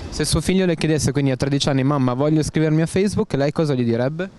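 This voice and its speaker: Male interviewer, outdoor vox pop, brisk and formal, faint street noise. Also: a little high, darkish tone. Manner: quick, formal questioning. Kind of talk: interviewer questions.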